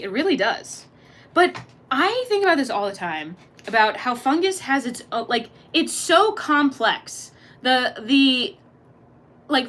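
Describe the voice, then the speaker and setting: Young woman rambling on stream, bright sharp voice, lots of slang, super animated. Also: a little high. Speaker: livestreamer reading chat. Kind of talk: casual live-stream chat.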